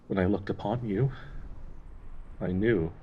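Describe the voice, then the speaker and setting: A man playing a gentle, grieving dryad in an intimate audio roleplay, speaking in a tender, halting, wistful way with a husky, breathy voice. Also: lowish voice, phone-quality sound, slight background noise, close to an everyday voice. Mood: troubled, sorrowful. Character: gentle, grieving male dryad devoted to a sick companion.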